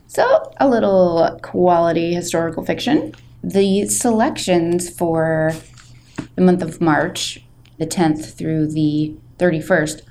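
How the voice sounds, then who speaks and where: A woman reading a book aloud as a storytelling host, with measured, expressive narration. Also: on the low side, a little hiss or hum.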